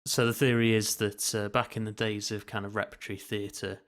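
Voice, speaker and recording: A man's voice: fairly low pitch, level tone, normal speed. A man co-hosting a casual podcast, explaining things in a hedging, conversational way. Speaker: podcast co-host.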